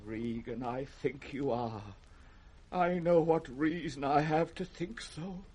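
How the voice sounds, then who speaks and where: A man's voice: on the high side, slow pace, dark tone, husky. An actor playing an aging, proud, wrathful king in classic radio Shakespeare, grand and volatile. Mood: bitter grief. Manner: grand, volatile, swinging from thunder to broken murmurs. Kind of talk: 1960s British radio Shakespeare acting.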